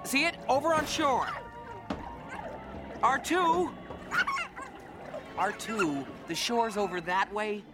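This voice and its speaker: A man's voice: sits high, expressive, takes it slowly, pauses often, over loud music. An actor playing an earnest, impatient young male space adventurer in a radio drama. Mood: urgent, alarmed.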